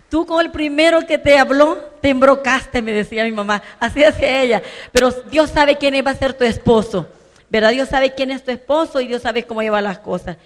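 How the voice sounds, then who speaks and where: An older woman from El Salvador with a Salvadoran Spanish accent, giving an earnest personal testimony at a business event and rising to emphatic bursts. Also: fairly high pitch. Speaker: business conference speaker. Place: large conference hall stage. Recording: long reverb of a large hall.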